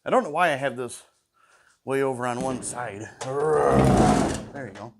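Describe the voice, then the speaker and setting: A man's voice: medium pitch, a little slow, creaky, heavy background noise. Stuffy-nosed man muttering over repairs. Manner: mutters to himself, hums between tasks. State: stuffy nose, sniffling. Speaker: small engine repair vlogger. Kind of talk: casual talk while working. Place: workshop.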